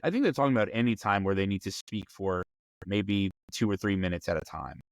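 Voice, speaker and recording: A man's voice: on the low side, animated, brisk, no room sound. A man hosting a tabletop role-playing show, talking through advice in a conversational style.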